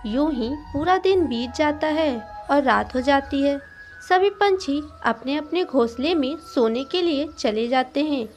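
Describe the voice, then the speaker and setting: A woman's voice: high-pitched, lively intonation, a little slow, faint music behind. A woman narrating a scripted moral story for children as a storyteller.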